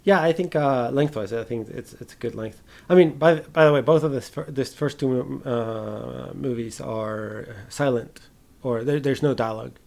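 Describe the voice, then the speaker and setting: A man hosting a casual film discussion podcast. His voice is mid-pitched with a good deal of fry and gravel. He speaks haltingly and informally, swearing now and then as he thinks aloud. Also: a little mellow.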